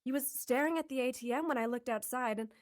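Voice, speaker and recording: A woman's voice: sits high, fast talker, close to an everyday voice. A woman voicing a dreamy, chatty young bank teller. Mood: gushing. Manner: gushing, chatty.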